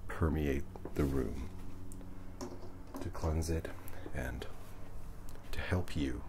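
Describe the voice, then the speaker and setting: A man softly guiding a relaxation session in ASMR style, gentle, unhurried and reassuring, his hushed, breathy voice often slipping into a whisper. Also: very deep voice, background noise. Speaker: ASMR reiki session host. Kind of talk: ASMR guided relaxation, speaking softly to the listener.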